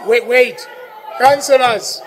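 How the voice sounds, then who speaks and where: A South African man chairs a council meeting, repeating himself as he calls councillors to order in a fairly high voice. The chamber has a long echo, with a faint crowd murmuring behind him. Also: slow.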